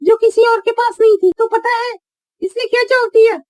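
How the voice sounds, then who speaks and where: A woman's voice: very high voice, fairly even intonation, moderate pace, darkish tone, dry recording. A woman voicing a stern, fed-up cartoon schoolteacher with a scolding, indignant manner. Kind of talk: cartoon comedy dialogue.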